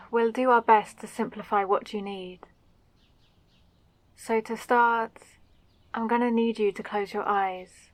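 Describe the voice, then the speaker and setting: Woman playing a gentle, unhurried lady mage. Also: mid-range voice, no room sound, close to an everyday voice. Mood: soothing. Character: kind, eager female fantasy mage teaching magic. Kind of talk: roleplay ASMR audio drama.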